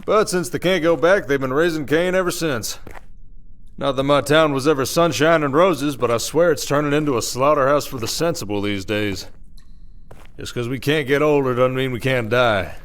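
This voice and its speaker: A man's voice: medium pitch, moderate pace, fairly bright tone, natural voice. Man playing a wry drawled werewolf sheriff. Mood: wry, explaining. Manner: folksy, dry-humored, rambling explanations. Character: weary, wry, protective male werewolf sheriff of an Old West town. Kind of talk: ASMR audio roleplay acting.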